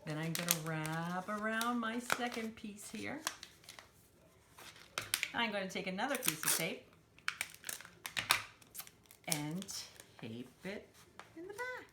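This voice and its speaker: A woman's voice: mid-range voice, sing-song, slow pace, pauses often, very noisy. A woman hosting a children's storytime, talking simply and encouragingly to young children as she demonstrates a craft. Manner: refers to herself in third person.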